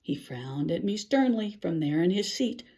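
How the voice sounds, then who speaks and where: An older woman reads a rhyming children's story aloud in an expressive, theatrical way, with musing exclamations and dramatic pauses. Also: fairly low pitch. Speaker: picture-book reader.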